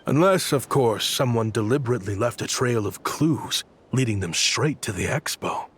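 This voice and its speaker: An actor playing a brooding, vengeful man in a video game, husky and breathy, speaking in measured, deliberate phrases. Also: mid-range voice, lively intonation, faint music behind. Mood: cold, taunting. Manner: measured, taunting, dramatic pauses.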